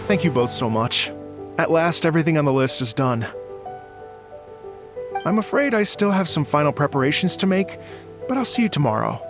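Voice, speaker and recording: An actor playing a polite, gentle young man in a video game, with music behind. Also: a little high, sing-song, normal speed, long pauses. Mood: grateful. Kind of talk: video game character acting.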